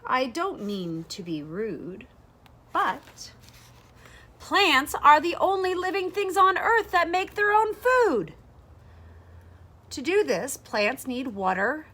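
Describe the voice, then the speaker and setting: A woman in her thirties reading a rhyming children's picture book aloud in a deliberate, expressive way, pausing to explain. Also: fairly high pitch. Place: small sunroom with large windows, indoors.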